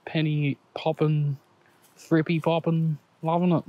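A man in his thirties with an Australian accent, chatting casually to viewers while metal detecting outdoors, excitable and quick to laugh at his finds. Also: fairly high pitch, muffled recording. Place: outdoors on a grassy park lawn. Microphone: action camera on the body or set on the ground.

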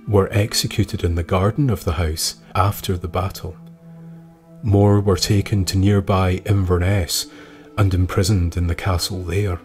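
A man reading scripted historical tales as a podcast narrator, measured and with dramatic pauses. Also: low voice.

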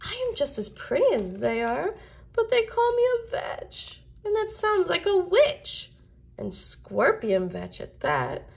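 High, tearful little flower girl. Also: big pitch swings, takes it slowly, gravelly, hardly any pauses, darkish tone, exaggerated voice, low-quality recording. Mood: tearful, wounded. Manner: tearful, sobbing delivery. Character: tearful little female flower child, self-pitying. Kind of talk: children's storybook character voice.

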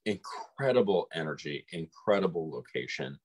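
A middle-aged man with a husky voice, chatting casually as co-host on a video call. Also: a bass voice, lively intonation, moderate pace, darkish tone. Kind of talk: casual conversation. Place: room at home, on a video call. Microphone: device mic on a video call.

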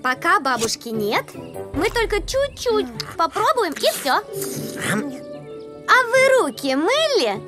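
A woman playing a bossy, eager little girl in an animated children's cartoon, expressive and admonishing. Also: extremely high pitch, slow, pauses often, full character voice. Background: music.

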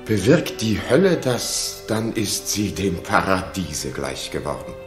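An actor playing a grieving, remorseful older king in a 1960s German radio drama, declaiming gravely and fervently. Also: low-pitched, big pitch swings, unhurried, over music. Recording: roomy 1960s radio recording.

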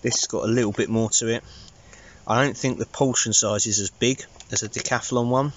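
A man in his thirties with an English accent, chatting casually to camera in a low-key, rambling, informal way as an outdoor vlogger. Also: fairly even intonation, a little fast, dull audio, a little hiss or hum. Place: inside a small tarp shelter outdoors at night.

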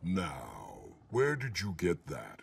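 An actor playing a huge, cunning gorilla villain in an animated film, with a menacing manner. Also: male voice, sits low, takes it slowly, vocal fry, heavily acted. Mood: menacing, scheming. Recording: distant, faintly noisy film dialogue.

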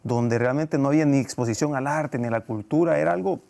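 A man, giving a conversational interview in a halting way, repeating phrases, on a lapel mic in a studio. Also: medium pitch, gravelly, darkish tone. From El Salvador. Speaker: guest, actor and film producer. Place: TV studio set.

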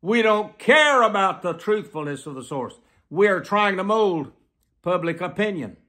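An American man in his seventies preaching to camera in an emphatic, deliberate way, repeating phrases for weight. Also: sits high, lively intonation, sharp tone. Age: 70s. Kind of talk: sermon delivered to camera. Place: small plain room indoors.

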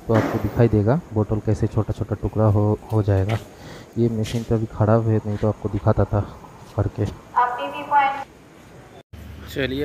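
A young man talks casually into a phone held out in front of him, pointing out each view with eager chatter. Loud train rumble and rain wash under his voice. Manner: chatty, eager, shows viewers each sight. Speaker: travel vlogger. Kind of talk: casual travel vlog narration. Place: railway platform and moving train, with rain. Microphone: phone held at arm's length.

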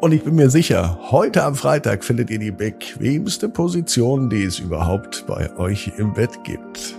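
A man hosting a children's podcast and narrating bedtime stories, speaking directly to young listeners with dramatic pauses and drawn-out words. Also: mid-range voice, sing-song, gravelly, music in the background. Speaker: children's podcast host and storyteller.

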